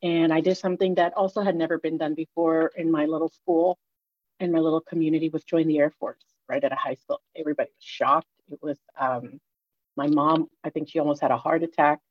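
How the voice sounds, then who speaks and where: A woman in her thirties from Puerto Rico, telling her life story in a radio interview, reflective and halting with many fillers. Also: sits low, steady tone, dry recording, lo-fi sound. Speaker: guest, new host.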